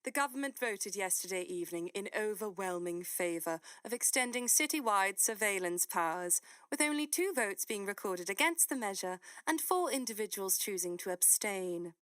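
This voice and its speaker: A composed female newsreader played by an actress delivers an official bulletin in an audio drama. Her mid-pitched voice is impersonal and formal, recorded very dry. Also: fairly bright tone, no room sound, lightly acted. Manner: formal, detached. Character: composed, impersonal female government news announcer. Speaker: news announcer. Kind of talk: scripted official news bulletin.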